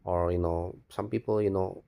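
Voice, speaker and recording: Man, non-native English, low-pitched, soft dark tone, halting, repetitive, off-the-cuff monologue. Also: fairly even intonation, creaky. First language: not English. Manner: repetitive, halting, restarts words and phrases often. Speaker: video blogger. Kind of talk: spontaneous rambling monologue.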